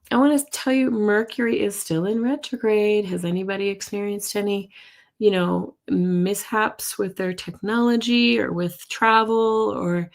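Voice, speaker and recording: A middle-aged woman with a hoarse, husky voice, chatting casually as host of a live tarot and astrology stream and reacting to viewer comments. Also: medium pitch. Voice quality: husky, gravelly voice. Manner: chatty, reacts to comments, coughs and clears throat. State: hoarse from losing her voice.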